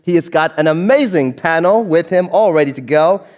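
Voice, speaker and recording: A man's voice: on the high side, fairly quick. A man hosting a conference, giving a live stage introduction of a speaker. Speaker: event host introducing a speaker.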